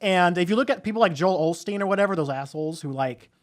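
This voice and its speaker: A man's voice: sits high, lively intonation, fast. A man co-hosting a podcast in casual discussion, speaking in an informal, opinionated way. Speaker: podcast co-host.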